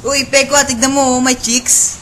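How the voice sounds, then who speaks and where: A woman's voice: sits high, little pitch movement, moderate pace, noisy background, natural voice. A woman playing a brusque, oblivious teenage boy with a Filipino accent, blunt and casual, in an amateur radio drama. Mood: eager, dismissive. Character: brusque, oblivious teenage boy, girl-chasing best friend.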